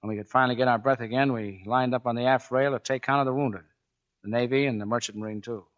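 An actor playing a gruff, commanding, plain-spoken Navy chief who tells his story, in 1940s radio drama style. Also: mid-range voice, fairly even intonation, quick pace, highs cut off, close to an everyday voice. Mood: sober narrating. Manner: barking orders, plain-spoken narration.

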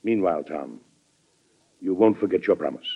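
A firm, fatherly American president in a vintage radio drama. His low voice rises and falls widely as he argues with earnest authority. The recording is dull and dated. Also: animated, moderate pace, gravelly, husky, full character voice. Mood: measured, conciliatory. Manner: earnest, authoritative, hesitant at times. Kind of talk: old-time American radio drama acting.

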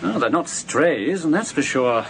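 An actor playing a sensible, courteous young newlywed husband in a radio drama, polite and matter-of-fact. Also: male voice, a little high, sing-song, a little slow, vocal fry, exaggerated voice. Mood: amused, matter-of-fact. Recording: noisy old radio recording.

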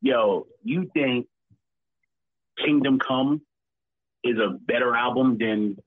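A male caller argues bluntly, dropping curses as he makes his case. His voice is husky, with a dry sound. It comes through a tinny phone line. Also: mid-range voice, slow pace, dry recording. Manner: blunt, argumentative, profane. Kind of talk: casual debate chat.

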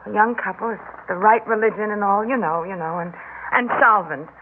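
A woman's voice: mid-range voice, brisk, close to an everyday voice. An actress playing a practical, weary middle-aged foster mother in an old radio drama, earnest and placating, with hesitant phrasing. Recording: narrow-band old radio, faint noise.

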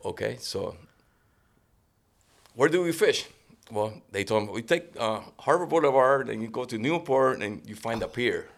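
Gravelly man in his sixties. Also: mid-range voice, moderate pace. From Cuba. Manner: relaxed storytelling with frequent restarts. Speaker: guest angler. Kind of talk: casual podcast interview.

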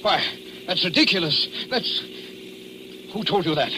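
Stammering, high-voiced suspect. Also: male voice, expressive, a little slow. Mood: nervous, bewildered. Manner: hesitant, stammering, agitated. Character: nervous, protesting male murder suspect under arrest. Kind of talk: 1940s American radio drama acting. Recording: noisy old 1940s radio recording.

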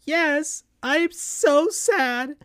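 Young cartoon boy sidekick, played by a woman: high pitch, meek, glum, plain-spoken. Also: lively intonation, drawn-out words, no room sound, studio quality, full character voice. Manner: quiet, glum, simple.

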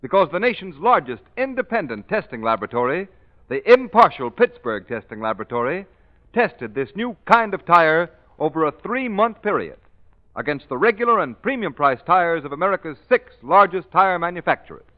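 Animated vintage radio announcer man. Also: on the high side, normal speed. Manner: formal, emphatic salesmanship. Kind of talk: 1940s radio commercial announcing. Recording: dull vintage radio recording.

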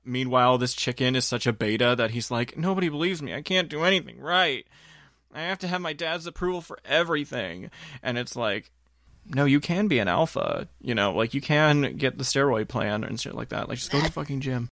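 A man's voice: medium pitch, lively intonation, fairly quick, fairly bright tone, no echo, studio quality. A man on a podcast panel discussing a film casually, with crude, sarcastic humour.

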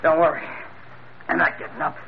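An actor playing a cocky young American hoodlum in a classic radio crime drama, slangy, surly and wisecracking. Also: male voice, on the high side, flat delivery, a little fast, close to an everyday voice. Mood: surly, defiant. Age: young adult. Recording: muffled, hissy vintage radio broadcast.

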